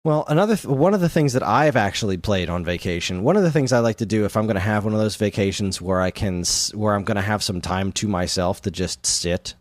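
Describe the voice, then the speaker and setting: A man's voice: on the low side, lively intonation, fast, few pauses, no room sound. A man co-hosting a podcast, chatting casually and telling stories in a conversational way. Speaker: podcast co-host. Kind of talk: casual chat about video games.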